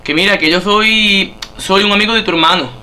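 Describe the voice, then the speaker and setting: A man's voice: high voice, brisk, noisy background. A man with an accent from Spain making a prank phone call, insistent and often repeating himself. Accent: Spanish (Spain). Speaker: prank caller. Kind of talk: prank phone call, pretending to be a cheated buyer.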